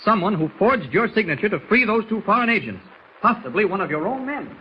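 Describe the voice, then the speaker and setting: An inquisitive reporter hero in a 1940s radio serial, with a bright, forceful, rather high voice. His delivery is eager and swings widely in pitch, over faint recording noise. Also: very animated, fast talker, full character voice, rough recording. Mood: confident, urgent. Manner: eager, probing, polite. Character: keen, inquisitive male newspaper reporter hero.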